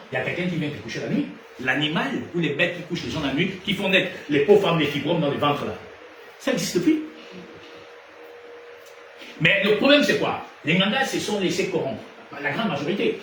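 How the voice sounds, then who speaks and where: A man preaching an animated sermon, his voice set a little high. He swings from loud emphatic peaks to low, quiet asides. He sounds distant from the mic, in a roomy space full of echo, with noticeable background noise. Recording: echoey room from far off, noisy ambience.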